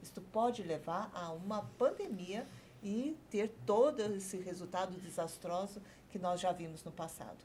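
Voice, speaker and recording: A woman doctor, a guest on a TV health talk show, explaining calmly and pausing to search for her words. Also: medium pitch, slight background noise. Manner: measured, searching for words, many fillers.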